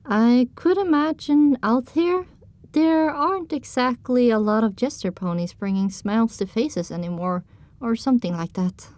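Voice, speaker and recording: A woman's voice: fairly high pitch, normal speed, a little mellow, dry recording, lightly acted. A woman narrating an audio drama in the first person as a sensitive young pony filly, in a scripted storytelling style. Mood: wry, puzzled.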